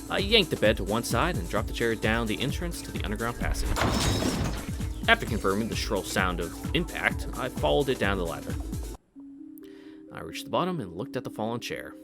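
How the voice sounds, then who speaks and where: A man's voice: fairly low pitch, very animated, fairly quick, creaky, over music. A man reading a visual novel aloud as a let's-play host, breaking off into casual asides.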